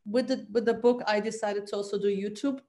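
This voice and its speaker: A woman's voice: mid-range voice, steady tone, a little fast, mellow. A woman in her forties from Turkey, a native Turkish speaker with a Turkish accent, talking conversationally as an interview guest on a video call from home. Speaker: guest, trauma psychologist. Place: bright living room at home.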